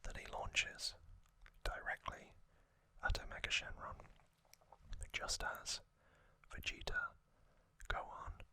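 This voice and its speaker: A man whispering as an ASMR host, telling a story softly in short, halting phrases. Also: no room sound. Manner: soft, unhurried, halting delivery in short phrases.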